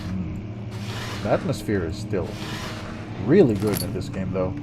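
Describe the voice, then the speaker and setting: A man's voice: low voice, sing-song, a little slow, very noisy. A man giving casual let's-play commentary, joking and rambling in a self-deprecating way. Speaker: gaming streamer.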